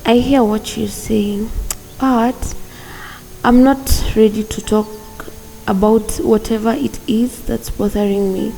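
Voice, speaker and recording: A woman acts out a stubborn, regretful young female student in a radio drama. Her voice sits fairly high, with a soft, mellow tone and plenty of creak. She speaks hesitantly and guardedly. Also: steady tone, slow, soft background music, rough recording. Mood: defensive, stubborn. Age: young adult.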